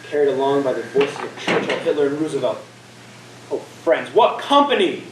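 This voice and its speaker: A man's voice: medium pitch, very animated, moderate pace, lightly acted. A young man on stage playing a doomed, half-mad spaceman who declaims in a grand, exultant way. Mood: exultant, manic. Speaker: student stage actor. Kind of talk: theatrical stage monologue. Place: school theatre stage. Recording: echoey stage, distant mic, faint noise.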